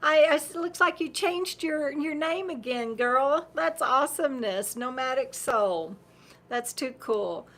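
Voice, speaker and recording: High-voiced chatty woman host. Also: lively intonation, unhurried, fairly bright tone. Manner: rambling, chatty, laughs often, repeats words. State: losing her voice. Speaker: livestream host. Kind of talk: casual livestream chat reading viewer comments.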